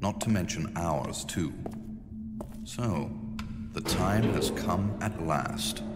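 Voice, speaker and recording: An actor playing a cold, arrogant, calculating male conspirator in a video game, measured and detached. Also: low-pitched, lively intonation. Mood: cool calculation. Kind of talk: video game villain acting. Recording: long reverb, loud music behind.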